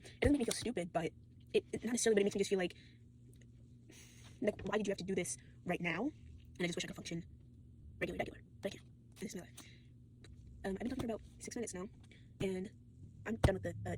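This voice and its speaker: A woman's voice: medium pitch, fast, pauses often, background noise. A tired, sore teenage girl rambling casually to a phone, full of fillers, restarts and swearing. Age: late teens. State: fatigued and sore. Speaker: vlogger.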